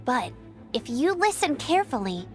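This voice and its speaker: Very high-voiced plucky girl scout. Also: very animated, moderate pace. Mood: determined. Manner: spirited, declarative, determined. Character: plucky, earnest teenage girl scout-knight. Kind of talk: video game voice acting. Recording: music behind the voice.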